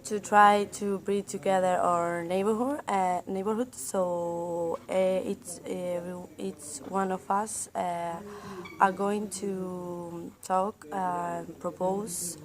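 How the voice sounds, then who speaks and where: A woman's voice: fairly low pitch, fairly even intonation, slow pace, a crowd in the background. A woman in her thirties in a classroom role-play, speaking hesitantly with many fillers and restarts. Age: 30s.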